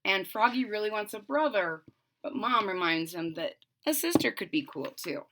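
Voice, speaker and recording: A woman's voice: mid-range voice, expressive, normal speed, background noise. A woman reading a children's storybook aloud, expressively, with explanatory asides to young listeners. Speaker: storybook reader.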